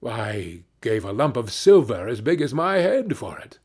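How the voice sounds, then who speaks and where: Man playing a gleeful, naive country lad, very lively. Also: mid-range voice, unhurried, breathy edge. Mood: plain, proud. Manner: exclamatory, earnest, gleeful. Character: cheerful, naive young peasant lad, easily pleased. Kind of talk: fairy-tale storybook character reading.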